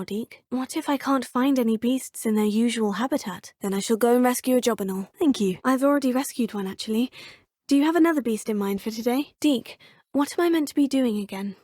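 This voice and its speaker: A woman's voice: fairly high pitch, fairly quick, darkish tone, no room sound, clean studio sound, lightly acted. An actress voicing a poised, polite young witch student in a game, proper and lightly teasing. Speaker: player character, student. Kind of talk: game voice lines. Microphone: close studio mic.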